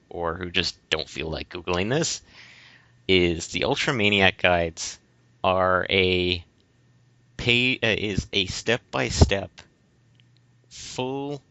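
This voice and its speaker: Male podcast co-host, casual and rambling with halting explanations. Dry but dull, lo-fi recording. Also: low-pitched, pushed and bright, no echo. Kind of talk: casual discussion of games.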